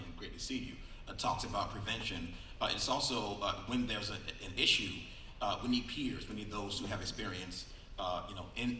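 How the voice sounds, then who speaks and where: A man's voice: on the low side, pushed and bright, a little hiss or hum. A man speaking on a conference panel, direct and conversational. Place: large conference room. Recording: echoey hall, distant mic.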